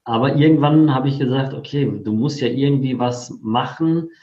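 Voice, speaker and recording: A man of forty tells his own story casually as an interview guest. His voice sits at a medium pitch, and the recording is roomy and a little dull. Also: moderate pace, mellow. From born in Poland, grew up in Germany. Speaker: interview guest, affiliate marketer. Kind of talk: casual autobiographical telling. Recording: roomy, slightly dull sound.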